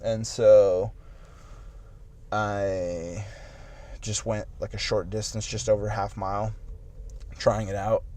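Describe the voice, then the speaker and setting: Late-twenties male podcast co-host, slightly low voice, loose rambling delivery. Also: flat delivery, slow pace, long pauses, mellow, slight background noise. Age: late 20s. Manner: rambling, filler-heavy with "like" and restarts. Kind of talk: casual chat.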